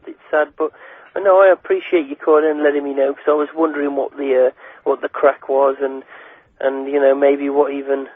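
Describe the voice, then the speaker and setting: A man's voice: medium pitch, little pitch movement, a little fast, no room sound. A young man chatting casually and hesitantly as a listener on a phone call. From England. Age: young adult. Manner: hesitant, repeats words and adds "like" and "you know". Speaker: radio listener giving his reaction by phone. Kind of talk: casual chat. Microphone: telephone. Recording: tinny, dry phone line.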